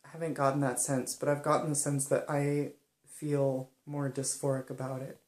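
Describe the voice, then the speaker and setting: A young woman speaking softly and hesitantly in a personal monologue, pausing often. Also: a bass voice, little pitch movement, echoey room. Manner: soft-spoken and hesitant, many pauses and ums. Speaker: vlogger.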